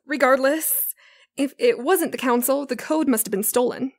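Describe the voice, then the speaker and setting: A woman acts a careful young guard in a space audio drama. The high voice is captured with very little room sound. Delivery is serious and sensible, with pointed, skeptical questions. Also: measured pace, no echo, studio quality. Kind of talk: science fiction audio drama acting.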